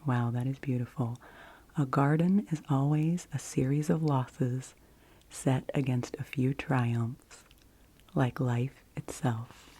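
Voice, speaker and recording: A woman hosting ASMR, speaking gently and soothingly, slipping often between soft speech and whispers. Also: extremely low pitch, slightly rough. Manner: slow, gentle, soothing delivery with frequent whispering. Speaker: ASMR video host.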